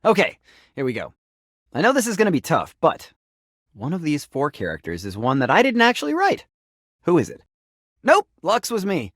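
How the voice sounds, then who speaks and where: A man's voice: fairly high pitch, wildly swooping pitch, normal speed, long pauses, fairly bright tone, no echo, studio quality, exaggerated voice. A man voicing a friendly, geeky, playful game writer in a video game, chatty, informal and enthusiastic. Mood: upbeat, candid. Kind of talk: video game character voice acting.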